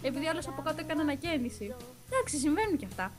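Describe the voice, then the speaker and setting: A young woman talks casually as an interview guest. Her storytelling is animated and full of slang, with the odd English word. Music plays clearly behind her. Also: sits high. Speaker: voice actor and dubbing singer being interviewed.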